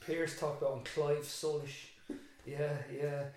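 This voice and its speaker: A man's voice: on the high side, flat delivery, unhurried, darkish tone. An Irish man, a secondary school teacher and interview guest, thinking aloud in a reflective, rambling way with frequent restarts. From Ireland. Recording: very echoey room.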